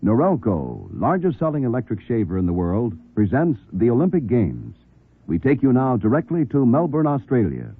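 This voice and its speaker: A man's voice: medium pitch, huge pitch swings, moderate pace, slightly rough. An American man reading advertising copy as a 1950s radio announcer, polished and emphatic. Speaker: commercial announcer. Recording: tinny narrow-band 1950s radio.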